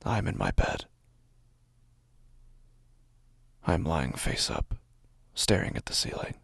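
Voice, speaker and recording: A man playing a dazed, fearful narrator of a horror audio drama, speaking in halting phrases with a low, gravelly, breathy voice that often nears a whisper. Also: big pitch swings, no echo, heavily acted. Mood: dazed, uneasy. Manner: slow, halting, broken phrases with heavy pauses. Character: dazed, fearful man narrating his own waking nightmare. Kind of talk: first-person horror audio fiction narration.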